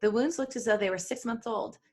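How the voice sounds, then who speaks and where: A woman's voice: fairly high pitch, a little fast, a little mellow. A woman co-hosting a podcast, reading a story aloud and breaking off for casual banter. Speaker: podcast co-host reading fan fiction aloud.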